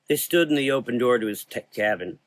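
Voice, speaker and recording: A man's voice: medium pitch, expressive, a little fast, no room sound. A man narrating a scripted gothic horror story as the narrator of an audio drama.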